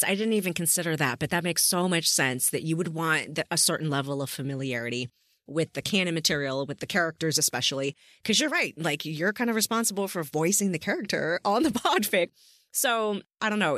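Woman interviewer, informal and effusive, slightly low voice, dry studio-like sound. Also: fairly bright tone, no room sound. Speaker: podcast interviewer and host. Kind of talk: casual conversational interview.

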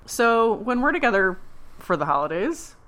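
A woman co-hosting a podcast in casual chat, her voice husky from a cold, rambling and correcting herself as she goes. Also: medium pitch, sing-song, moderate pace, fairly bright tone. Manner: rambling, self-correcting, laughing often. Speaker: podcast co-host. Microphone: remote call.

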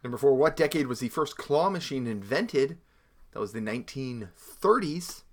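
A man co-hosting a trivia show, reading questions and answers in relaxed, casual chat. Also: mid-range voice, normal speed. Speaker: trivia co-host.

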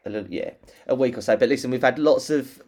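A man's voice: medium pitch, fast talker, darkish tone. Chatty British man hosting a podcast. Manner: chatty, enthusiastic storyteller. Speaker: podcast co-host. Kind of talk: casual chat.

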